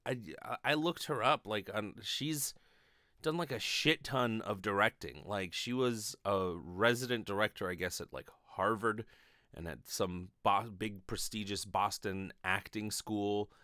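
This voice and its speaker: Low-pitched man rambling casually, close-miked. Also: measured pace, bright, forceful tone, dry recording. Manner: informal, sweary, hesitant with frequent filler "like". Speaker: podcast host, actor and acting teacher. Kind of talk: casual rambling monologue to camera. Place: small bedroom, indoors. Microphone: close studio microphone on a stand.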